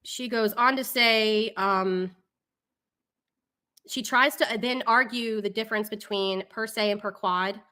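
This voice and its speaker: A woman's voice: mid-range voice, fairly even intonation, a little slow, bright, forceful tone, smooth. A woman hosting a casual live talk show, reading documents and commenting in an exasperated, opinionated way.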